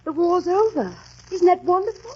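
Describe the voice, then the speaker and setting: An actress playing a kind, brisk young woman in a radio drama, coaxing and reassuring. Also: extremely high pitch, expressive, a little mellow. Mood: urgent, friendly. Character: kind, brisk young woman dancer befriending a lost soldier. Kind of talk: 1940s radio drama acting. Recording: muffled, noisy 1940s radio recording.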